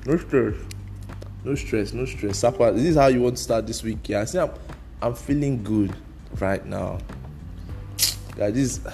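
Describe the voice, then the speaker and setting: A man's voice: medium pitch, darkish tone. A young Nigerian man whose first language is Igbo, hosting a casual solo podcast in a loose, rambling way, mixing slang and pidgin. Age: young adult. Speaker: podcast host.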